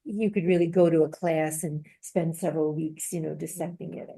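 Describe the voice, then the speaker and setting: A woman's voice: sits low, fairly even intonation, moderate pace, warm tone, husky, dry recording. An older woman talking tentatively and conversationally in a casual book discussion. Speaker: book club member.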